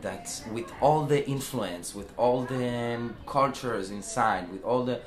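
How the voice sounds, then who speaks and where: A man's voice: mid-range voice, a little slow, reverberant. A man with an Israeli accent, a singer talking in a casual interview, hesitant with many fillers and restarts. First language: Hebrew. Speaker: singer and band founder. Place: music club after a show.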